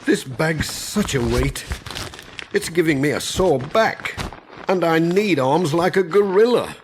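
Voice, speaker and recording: A man's voice: on the high side, animated, slow, slight background noise, pitched above the performer's own voice. A man voicing a friendly, overworked village postman in a children's audiobook, with a Northern English accent and a folksy, grumbling manner. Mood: weary complaining.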